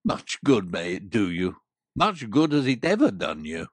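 A woman voicing a grumpy, stern, miserly old uncle with a gruff, scornful manner in a storybook reading. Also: rumbling low, sing-song, a little slow, dry recording, voice pushed down, full character voice. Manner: gruff, indignant, biting. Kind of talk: audiobook character voice.

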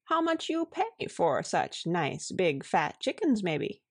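A woman voicing a shrewd, good-natured, calm housewife who speaks wryly in foreign-tinged broken syntax. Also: on the low side, slow pace, breathy edge, no room sound, studio quality, full character voice.

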